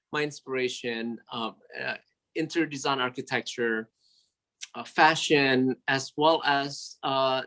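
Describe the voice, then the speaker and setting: A man who grew up speaking Javanese talks about design in an earnest, sentimental way. He speaks at a middling pitch, stops often on fillers, and restarts his sentences. He is recorded at his office at a city store. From Indonesia. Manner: warm, sentimental, halting with many fillers. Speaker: interior designer. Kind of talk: casual interview over video call.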